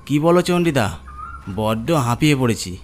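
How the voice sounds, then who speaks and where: A man's voice: medium pitch, expressive, moderate pace, darkish tone, slight background noise, pitched above the performer's own voice, natural voice. A man voicing a weary village corpse bearer who pleads and complains in a horror cartoon story.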